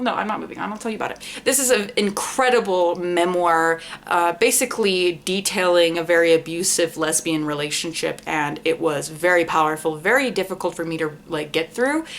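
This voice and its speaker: A young adult woman chatting casually as a book vlogger, rambling and self-deprecating, with informal language and swearing. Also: lowish voice. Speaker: book vlogger, channel host. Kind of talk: casual book review chat to camera.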